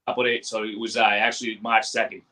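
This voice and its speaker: A man in his thirties talking casually as a co-host in a relaxed group chat. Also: fairly low pitch, fast talker. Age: 30s.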